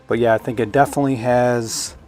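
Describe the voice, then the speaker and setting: Male reviewer, mid-pitched, chatty and unscripted hands-on unboxing delivery, light music underneath. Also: steady tone, brisk, a little mellow. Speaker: product reviewer.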